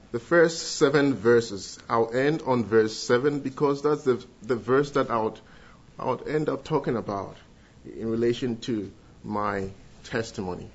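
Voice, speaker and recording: A man's voice: medium pitch, husky. Ghanaian man, middle-aged, earnest church testimony, muffled recording. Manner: earnest, with filled pauses and restarts. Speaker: guest speaker giving testimony.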